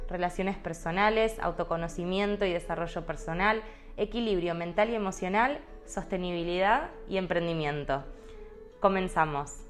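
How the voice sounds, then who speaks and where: A woman's voice: fairly low pitch, a little fast. Woman announcing a show over faint music. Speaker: podcast intro announcer. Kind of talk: scripted show opener.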